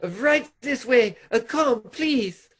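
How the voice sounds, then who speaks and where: Woman as a timid, high-voiced doctor sidekick. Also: expressive, slow, heavy background noise. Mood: placating, uneasy. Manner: hesitant, placating. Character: timid, nervous doctor, a criminal's hapless sidekick. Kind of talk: radio play acting.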